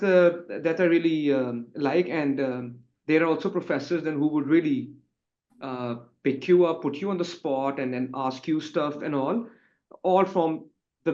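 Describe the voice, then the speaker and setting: A man's voice: on the high side, normal speed, a little mellow. A man speaking informally as a student on a panel, rambling a little and adding tag questions. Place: online webinar call. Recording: dull, muffled online call audio.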